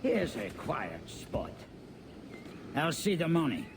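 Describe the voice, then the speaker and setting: A suave and sinister male slave trader in a game cutscene. He drawls formally and very slowly at a raised pitch. Clear ambient noise runs behind him. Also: big pitch swings, heavily acted. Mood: suave, menacing. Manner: slow, formal, drawn-out delivery. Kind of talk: video game cutscene acting.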